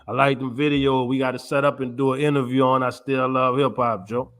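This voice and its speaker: A man's voice: mid-range voice, flat delivery, fairly quick, a bit bright. A man co-hosting a podcast, chatting casually in a laid-back, slangy way. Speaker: podcast co-host. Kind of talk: casual chat.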